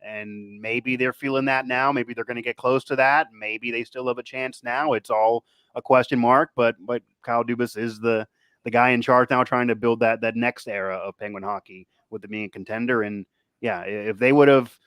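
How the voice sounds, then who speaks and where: A man's voice: mid-range voice, steady tone, normal speed, fairly bright tone, clear, resonant tone, no echo. A man hosting a sports show, talking casually in a rambling way that circles back on itself. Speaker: sports podcast host. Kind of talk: casual sports talk and interview.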